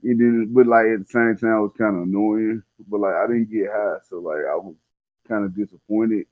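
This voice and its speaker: Low-voiced man, slangy casual talker. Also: steady tone, normal speed, dry recording, phone-quality sound. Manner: slangy, candid, rambling. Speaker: guest telling personal stories. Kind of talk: casual chat.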